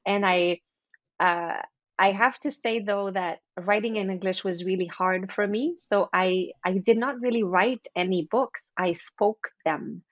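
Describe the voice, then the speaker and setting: A chatty woman whose first language is French talks as a guest in an interview, restarting sentences as she goes. Her voice sits at a middle pitch, close and dry. The sound is a little dull. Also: measured pace, no room sound. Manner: chatty, with frequent restarts and acted-out inner thoughts. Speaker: guest author. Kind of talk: casual interview chat.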